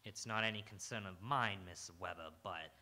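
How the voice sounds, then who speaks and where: Man acting a deep hard-boiled radio detective. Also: moderate pace, pushed and bright. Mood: businesslike. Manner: terse, brooding, clipped questioning. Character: hard-boiled world-weary male police detective, narrating moodily. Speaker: radio drama actor. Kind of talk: live radio noir drama acting and narration.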